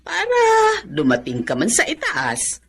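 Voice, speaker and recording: Radio drama mother: middle-aged, devout, gentle, raspy-husky, expressive intonation. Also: sits low, measured pace, exaggerated voice. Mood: grateful, advising.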